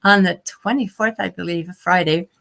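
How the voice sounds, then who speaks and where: A woman's voice: fairly low pitch, normal speed, gravelly, a bit bright. A woman hosting an interview, asking long rambling questions with restarts and laughing often. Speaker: interview host. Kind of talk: casual interview.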